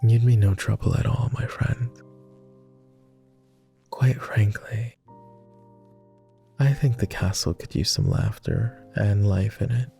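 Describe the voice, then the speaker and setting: A man playing a tender, easily flustered vampire lord with formal phrasing and a soft, gravelly, breathy voice, in an intimate ASMR boyfriend roleplay. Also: lowish voice, fairly even intonation, slow, long pauses, soft background music. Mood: gently inviting. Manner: hesitant, formal old-fashioned phrasing.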